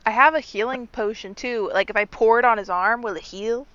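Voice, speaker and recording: A woman in a tabletop game who talks fast and excitedly, swearing freely. Her voice is bright, forceful and crisp, and it sounds dull and lo-fi. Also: fairly high pitch, no room sound. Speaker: player. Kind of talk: playing a tabletop game, casual chat.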